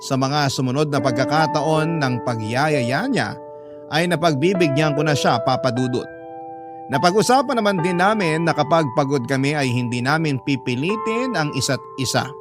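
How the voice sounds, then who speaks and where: A man voices an earnest letter writer telling a love story in the first person. The pitch is on the high side and the delivery sentimental and confiding, as dramatic radio narration. Music runs clearly underneath. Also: animated, normal speed, natural voice.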